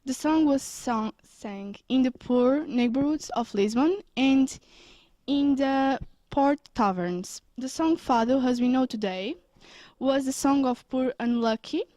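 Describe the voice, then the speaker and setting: A woman's voice: high-pitched, unhurried, mellow, no echo, rough recording. A young woman from Portugal reading prepared answers steadily, a little stiffly. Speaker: student interviewee.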